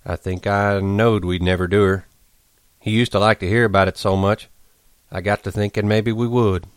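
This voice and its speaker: A man's voice: on the low side, steady tone, normal speed, no room sound, natural voice. A man voicing a resigned, stern ranch worker with plain, rustic American speech, in an audiobook. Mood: soft, dejected.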